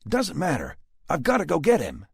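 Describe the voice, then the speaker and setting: Rick is a short-tempered cop and protective father, as a male narrator voices him, answering in clipped, stubborn bursts. The voice is pushed well up from the narrator's own register. A gravelly grain stays under it. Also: mid-range voice, wildly swooping pitch, normal speed, dry recording, studio quality, voice pushed up, heavily acted. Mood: firm.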